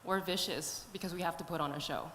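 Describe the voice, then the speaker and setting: A woman's voice: fairly low pitch, steady tone, fast talker, fairly bright tone. A woman fighter speaking casually and forthrightly at a press conference. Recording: echoey hall, faint noise.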